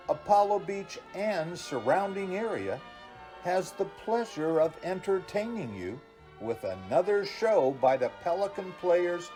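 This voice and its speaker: A man delivers a scripted announcement for a theater show. He is unhurried and mellow, with lively rises and falls. Faint music plays behind him. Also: high voice.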